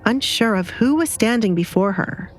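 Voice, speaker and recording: A woman's voice: mid-range voice, animated, normal speed, poor audio. A woman reading scripted dramatic fiction as an audiobook narrator, with light noise behind her.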